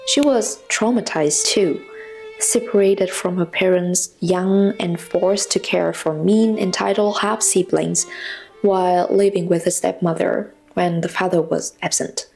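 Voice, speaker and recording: Young non-native woman with slightly creaky voice. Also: fairly low pitch, steady tone, measured pace, darkish tone, soft background music, rough recording. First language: not English. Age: 20s. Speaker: personal vlogger. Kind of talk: reflective scripted personal narration.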